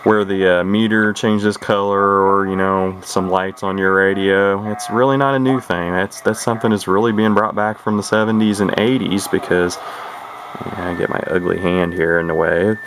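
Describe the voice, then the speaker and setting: A man with a very low voice talks through an old CB radio in an unhurried, rambling way, full of fillers and restarts. The home video is noisy, with radio hiss behind him. It sounds like a camera's built-in mic in a room at home. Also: fairly even intonation. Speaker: CB radio collector showing a radio. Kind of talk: casual show-and-tell video.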